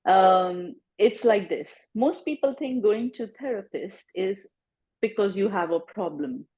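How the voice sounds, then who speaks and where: A woman psychologist as an interview guest, explaining her work in a relaxed way with everyday comparisons and often checking with "right?". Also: mid-range voice, unhurried, slightly rough. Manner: explains with analogies, tags "right?". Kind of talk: casual interview chat.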